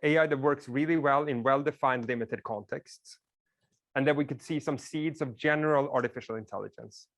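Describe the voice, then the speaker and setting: A man's voice: medium pitch, fairly quick. A man whose first language is Swedish, giving a casual classroom lecture and explaining things with frequent "like" fillers.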